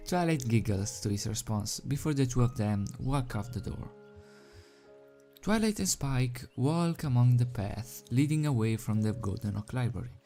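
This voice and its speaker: A video host and fan fiction reader with a hesitant, halting, self-deprecating manner, speaking into a desk microphone. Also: medium pitch, expressive, warm tone. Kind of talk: casual rambling chat, then storybook narration. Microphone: desk microphone without pop filter. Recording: background music under the voice. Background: music.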